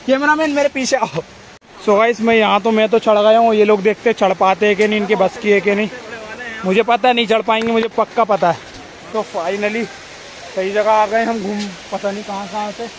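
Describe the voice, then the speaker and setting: Casting: male vlogger, 20s, high voice, informal and excited, noisy outdoor phone sound. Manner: excited, informal, calling out to companions. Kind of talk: casual vlog commentary to friends and viewers. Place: outdoors on forested rocky trail near waterfalls. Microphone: phone at arm's length. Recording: noisy outdoor phone audio with rushing water.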